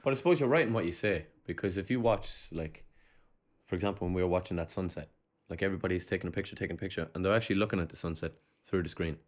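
A man co-hosting a casual podcast chat, wry and joking, with quick comebacks and free swearing. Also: deep voice. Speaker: podcast co-host.

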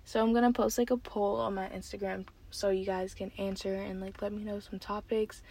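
A nineteen-year-old woman hosting her own podcast, chatting casually and excitedly with lots of fillers from a parked car. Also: medium pitch, fairly quick, slightly rough. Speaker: podcast host. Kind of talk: casual solo podcast chat.

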